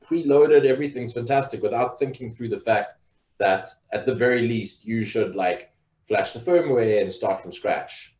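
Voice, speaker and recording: A man's voice: mid-range voice, normal speed, a little mellow. A man co-hosting a casual live talk show, telling stories in a rambling way with many fillers. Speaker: podcast co-host. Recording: roomy echo.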